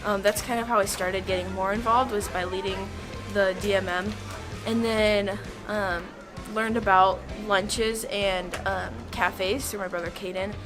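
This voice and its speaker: A woman's voice: mid-range voice, fairly even intonation, unhurried, few pauses, music in the background. A teenage young woman, a student leader, giving an informal testimonial.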